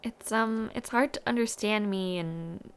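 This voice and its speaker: A young woman acting in an intimate audio roleplay as a warm, shy, playful university student, with a hesitant, giggly, softly teasing way of talking. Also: mid-range voice, a little slow, clear, resonant tone, close to an everyday voice.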